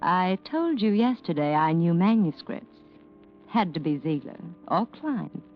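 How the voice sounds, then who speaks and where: A woman's voice: lowish voice, very animated, unhurried. An actress playing a coolly scheming wealthy widow in a 1940s radio mystery, coy and polished in her mock innocence. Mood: coy, calculating. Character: Janet, coolly scheming wealthy widow, feigning sweet helplessness. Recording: muffled old radio broadcast, organ music behind.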